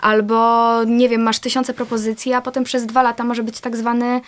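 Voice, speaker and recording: A woman, an actress guest in a casual interview, talking in a chatty, reflective way with frequent pauses. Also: on the high side, level tone.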